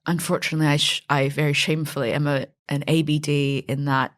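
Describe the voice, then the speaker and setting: A woman from Silicon Valley, a fintech founder chatting as an interview guest, self-deprecating and quick to laugh. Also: low-pitched, little pitch movement. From Silicon Valley, USA. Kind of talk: conversational interview.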